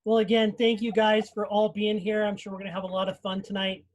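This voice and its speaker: A man's voice: high voice, completely flat delivery, quick pace. A man hosting a casual group live stream over a video call. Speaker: host of a cast live stream.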